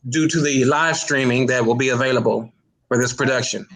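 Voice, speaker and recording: A man's voice: mid-range voice, a little fast, a bit bright, low-quality recording. A man from Oklahoma giving casual interview answers as a guest actor, modest, plainspoken and a little hesitant.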